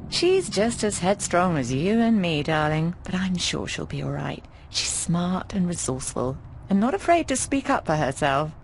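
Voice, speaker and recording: An actress voicing a breezy, affectionate, bawdy landlady in a video game, chatting in an expressive, teasing way. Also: lowish voice, normal speed, hardly any pauses, a little hiss or hum, rough recording. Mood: protective, gossipy. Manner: expressive, teasing, calls people darling. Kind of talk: conversational video game voice acting.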